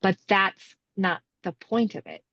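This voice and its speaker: Woman on a podcast explaining stuff casually, vocal fry, lists things with emphasis, dry lo-fi sound. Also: mid-range voice, huge pitch swings, measured pace, fairly bright tone, dry recording. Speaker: podcast co-host.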